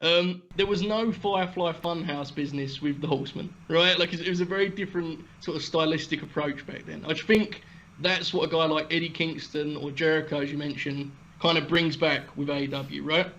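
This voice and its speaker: A man's voice: fairly high pitch, steady tone, moderate pace, a bit bright, lo-fi sound. A young man hosting a podcast, chatty and joking with his guest. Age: young adult. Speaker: podcast host. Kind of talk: casual interview chat.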